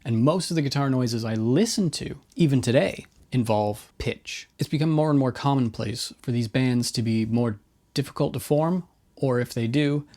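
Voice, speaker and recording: Middle-aged male video host, casual and opinionated, medium-pitched voice, essay-style commentary. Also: moderate pace, warm tone. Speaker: host of a guitar gear video.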